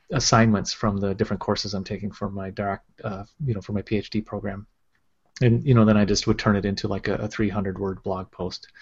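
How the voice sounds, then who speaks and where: A man's voice: fairly low pitch, gravelly, slightly rough. A middle-aged man, a school administrator, talking steadily in a casual interview with many fillers. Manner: steady, filler-heavy with many "you know"s. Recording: dull lo-fi sound.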